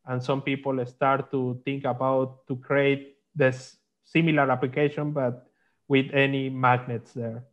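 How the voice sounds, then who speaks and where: A man explaining technical slides in halting, on a video call. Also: medium pitch, little pitch movement. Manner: halting, non-native English phrasing. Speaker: guest, magnetics consultant. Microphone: video call microphone. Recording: dull lo-fi online call.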